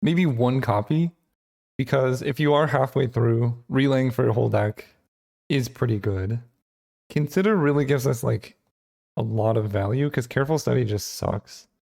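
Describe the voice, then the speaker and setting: Gravelly-voiced man thinking aloud. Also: mid-range voice, moderate pace, a little mellow. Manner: thinking aloud, rambling with fillers. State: voice getting rough and hoarse. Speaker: channel host. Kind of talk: casual gameplay commentary.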